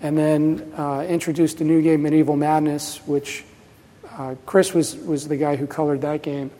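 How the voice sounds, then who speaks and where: A man in his fifties gives a casual conference talk, voice sitting a touch high. He rambles with plenty of fillers and restarts. The sound carries a long reverb from a large hall. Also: little pitch movement, normal speed, dark tone. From New Jersey, USA. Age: 50s. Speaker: presenter at a pinball festival.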